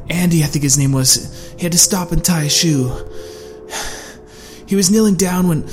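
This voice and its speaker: A man's voice: high-pitched, voice pushed up, close to an everyday voice. A man voicing a wounded young man survivor who is still shaken and short of breath. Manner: breathless, urgent.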